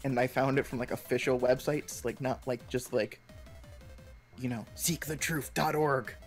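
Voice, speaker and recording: A man's voice: mid-range voice, fairly even intonation. Young man rambling casually over music. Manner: rambling, halting storytelling peppered with 'like'. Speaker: stream co-host. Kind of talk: casual chat on a live stream.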